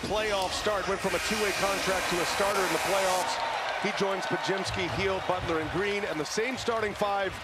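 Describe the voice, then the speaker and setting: A man's voice: high-pitched, fairly even intonation, normal speed, a loud crowd. A man calling live basketball play-by-play as a game announcer. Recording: loud arena noise, bouncing basketball. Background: loud basketball arena ambience.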